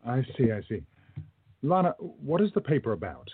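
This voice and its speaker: A man's voice: mid-range voice, big pitch swings, moderate pace, husky. A man playing an earnest, commanding time-travelling adventurer in a radio play. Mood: concerned. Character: Joe, brisk adventurous time-travelling hero. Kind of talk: amateur radio play acting. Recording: tinny phone-like sound, faint music.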